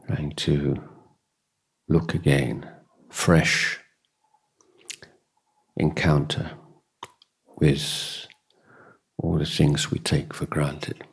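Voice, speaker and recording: A man with an extremely low, husky voice leads a guided meditation. He speaks as a dhamma teacher in short, deliberate phrases, leaving long pauses between them. The delivery is slow and measured throughout. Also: flat delivery. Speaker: Buddhist monk and meditation teacher.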